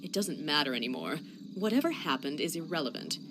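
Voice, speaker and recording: A woman's voice: low voice, very animated, brisk, noisy background. A woman playing a grave, pragmatic senior inquisitor in a fantasy game cutscene. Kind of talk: scripted video game cutscene acting.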